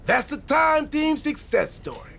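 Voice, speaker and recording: High gravelly sarcastic buddy. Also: male voice, big pitch swings, unhurried, fairly bright tone, soft background music, heavily acted. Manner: sarcastic, joking. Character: sarcastic, wisecracking wrestler buddy. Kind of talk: video game cutscene dialogue.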